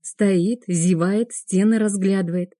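Woman for first-person fiction narration: warm, mellow tone, very dry close studio sound. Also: medium pitch, fairly even intonation, slow pace, no room sound. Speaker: audiobook narrator.

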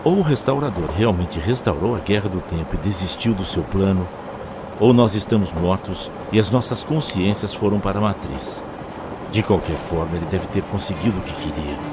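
A man's voice: deep voice, normal speed, natural voice. A man with a Brazilian accent playing a learned alien Time Lord who explains ancient lore like a storyteller in an audio drama. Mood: grave, reflective. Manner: expository, storyteller-like. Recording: faint background noise.

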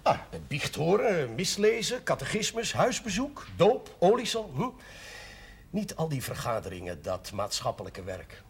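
An actor playing a genial, authoritative parish priest, paternal and persuasive, in a 1970s Dutch television drama. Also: male voice, fairly high pitch, very animated, unhurried, a little hiss or hum. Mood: firm, persuasive.

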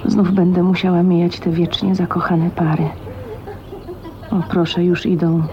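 An actress playing a shy, lonely, dreamy young spinster in a radio drama, speaking hesitantly in a hushed, intimate way. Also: female voice, fairly low pitch, flat delivery, measured pace, natural voice. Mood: resigned, wistful. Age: young adult. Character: shy, lonely, dreamy 29-year-old spinster, wistful and wounded. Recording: dull, noisy 1980s radio drama recording.

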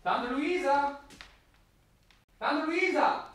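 An actor playing a sardonic, grumbling young man, brisk and jealous, in a 1960s German radio play. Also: very high voice, fairly bright tone, heavily acted. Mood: calling out.

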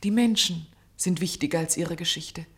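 A woman's voice: on the low side, moderate pace, natural voice. A woman playing a determined, idealistic woman in a German radio drama, speaking earnestly, insistently and articulately. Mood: impassioned. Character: determined, idealistic woman pleading to save a condemned man.

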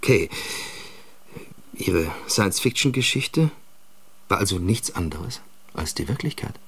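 A husky, troubled, weary man. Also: sits low, animated, exaggerated voice. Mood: puzzled. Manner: searching, halting, earnest. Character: weary, troubled man, former war forecaster, longing for peace. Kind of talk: German science-fiction radio drama acting.

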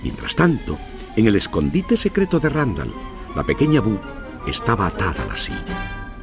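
A man narrating a children's story in an expressive, theatrical scripted style. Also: on the low side, normal speed, over music.

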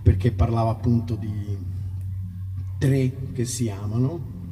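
A man's voice: medium pitch, steady tone, measured pace, mellow, slight background noise. Distant, reverberant male musician on stage. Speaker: musician performing a concert. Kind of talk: casual between-song stage talk. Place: outdoor live festival concert in a park. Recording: distant live concert, long reverb, music behind.

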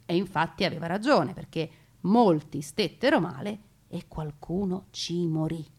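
A woman performs a narrative piece as a storyteller. She speaks expressively in the first person, placing emphatic pauses and setting out details word by word. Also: fairly low pitch, darkish tone, husky. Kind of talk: theatrical first-person narration of family war memories.

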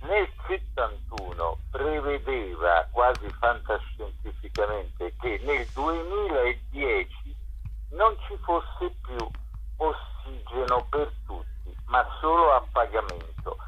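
A husky male voice comes through a muffled telephone line. He speaks slowly and deliberately as an interviewed curator, often pausing to find the next phrase. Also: mid-range voice, sing-song. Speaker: festival curator, interview guest. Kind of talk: measured phone interview.

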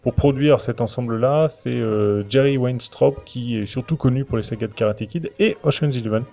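A man's voice: medium pitch, normal speed. A man hosts a semi-prepared film discussion podcast, often hesitating as he searches for words. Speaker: podcast host. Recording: dull lo-fi audio, music behind. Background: clear background music.

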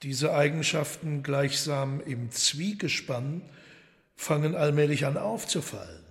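An actor playing an urbane, menacing devil in a radio play, speaking with formal, deliberate mock courtesy. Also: male voice, on the high side, lively intonation, vocal fry. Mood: silky menace. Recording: long hall reverb.

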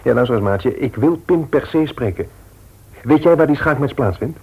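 An actor playing a worried, insistent brother-in-law with a very husky voice in a radio play. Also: male voice, mid-range voice, lively intonation, moderate pace, dark tone, low-quality recording.

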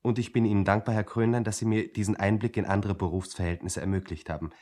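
Actor as earnest, husky-voiced young clerk. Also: male voice, fairly low pitch, steady tone, fast, dark tone, close to an everyday voice. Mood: polite, earnest. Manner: formal, courteous, pedantic. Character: polite, earnest young male clerk, recent student. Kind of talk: German satirical radio drama acting.

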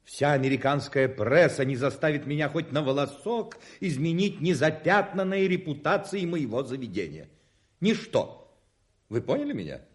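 An actor playing a pompous, indignant hotel proprietor in a radio play, declaiming emphatically. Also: male voice, fairly high pitch, animated, normal speed, close to an everyday voice. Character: pompous, indignant male hotel owner guarding his establishment's reputation.

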